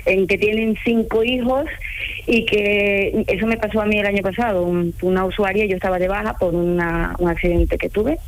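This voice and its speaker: A woman's voice: medium pitch, fairly even intonation, normal speed. A woman from Ecuador with a mild Ecuadorian accent, chatting casually as a caller on a radio show. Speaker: radio caller, home care worker. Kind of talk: casual chat. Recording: noisy telephone call to radio.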